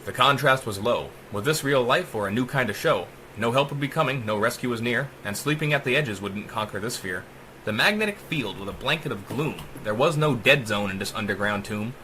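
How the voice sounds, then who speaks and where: A man narrating a dark rhyming story as a dramatic audiobook, with theatrical, emphatic rhythm. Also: mid-range voice, lively intonation, fairly quick, a little hiss or hum, low-quality recording.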